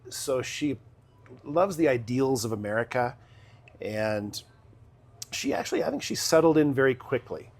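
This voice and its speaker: A middle-aged man, a city council member, answering interview questions in a measured, explanatory way with pauses. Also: mid-range voice, sing-song.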